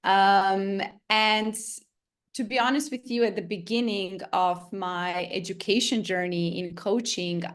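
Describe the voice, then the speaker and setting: A woman's voice: mid-range voice, fairly even intonation, measured pace. A woman in her thirties from Serbia, answering interview questions with frequent hesitations and fillers. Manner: hesitant, many ums. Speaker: guest coach and trainer.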